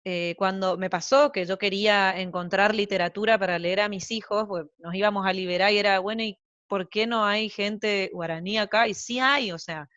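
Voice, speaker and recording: A woman's voice: mid-range voice, steady tone, moderate pace, a bit bright, dry recording. Chatty Argentine woman, muffled dry sound. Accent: Argentine Spanish. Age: young adult to middle-aged, mother of young children. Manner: chatty, rambling with many fillers and asides. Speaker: translator guest. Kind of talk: casual interview chat.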